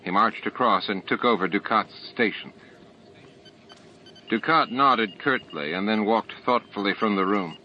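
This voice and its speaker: A man with a fairly low voice narrates a science fiction audiobook. His reading is scripted narration. The recording is dull and lo-fi, with clear noise and added sound effects behind him. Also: a little slow. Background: added sound effects and ambience.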